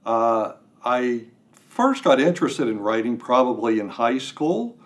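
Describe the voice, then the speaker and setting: An older man chatting as an interview guest, talking in a halting way with self-deprecating humor. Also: medium pitch, very animated. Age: 60s or older. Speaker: guest writer. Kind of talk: casual interview. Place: radio studio.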